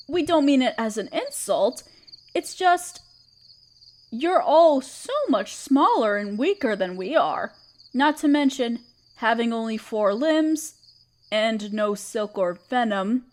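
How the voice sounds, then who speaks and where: Woman as a high-voiced, motherly spider queen. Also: full character voice. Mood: flustered, reassuring, then amused, reassuring. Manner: tender, soothing, hesitant and coaxing. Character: gentle motherly half-spider queen, protective and doting. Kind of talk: roleplay audio drama, softly addressing the listener. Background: chirping crickets.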